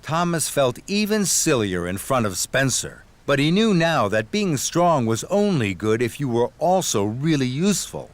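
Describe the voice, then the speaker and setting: A man narrating a scripted children's story in an expressive, deliberate storytelling manner. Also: medium pitch. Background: music.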